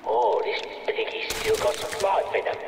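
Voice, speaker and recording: A man's voice: normal speed, lightly acted. An actor playing a sadistic male mob boss, taunting a captive in a video game cutscene. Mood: gloating. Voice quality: gleeful, taunting, theatrical. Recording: echoey game audio with noise.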